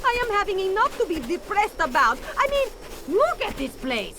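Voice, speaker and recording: A gloomy Soviet townswoman from a comic video game, grumbling in broken English with a Russian accent. Her voice is high and lurches up and down, with clear ambient noise behind it. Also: big pitch swings, moderate pace. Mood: glum.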